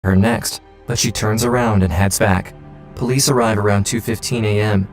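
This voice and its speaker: Low-voiced man narrating true crime. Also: fairly even intonation, normal speed, soft background music. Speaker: documentary narrator.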